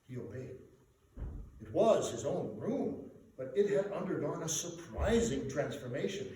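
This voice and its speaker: A man's voice: a little high, dark tone. Theatrical male storyteller in an echoey room. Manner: theatrical, emphatic, deliberate phrasing. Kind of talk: dramatic literary reading. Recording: very echoey room, distant mic, background noise.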